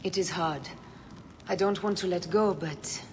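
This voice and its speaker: An actress as a vengeful woman warrior of ancient Egypt, fairly low in pitch and tersely restrained. The game scene has a long reverb with faint ambient noise. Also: a little slow, close to an everyday voice. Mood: sad, resigned. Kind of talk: dramatic video game acting.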